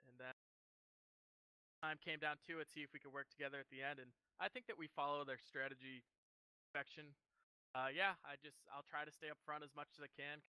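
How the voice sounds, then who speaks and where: A man talking as a race driver in a post-race interview, halting and casual with many fillers. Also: on the high side, pushed and bright, clean tone, no room sound, poor audio.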